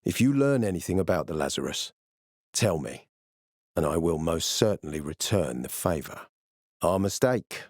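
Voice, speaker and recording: A stern army commander from a post-apocalyptic video game. His voice is low and measured, with formal authority, captured close and dry. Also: sing-song, no echo, full character voice. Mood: stern, threatening. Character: stern ambitious male military commander in a zombie apocalypse. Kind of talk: video game dialogue acting.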